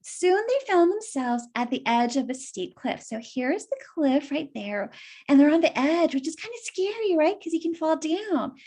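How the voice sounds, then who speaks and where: A woman's voice: high-pitched, sing-song, normal speed, darkish tone. A woman reading a children's story aloud and explaining it simply, as if to young listeners.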